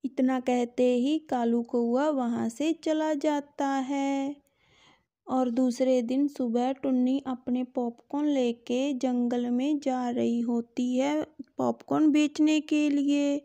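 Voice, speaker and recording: High, mellow, slow-paced woman narrator. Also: fairly even intonation. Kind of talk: scripted children's story narration.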